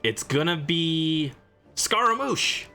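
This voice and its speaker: Man, livestreamer, fairly high voice, very animated pitch, casual game commentary, faint music. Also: slow pace, creaky.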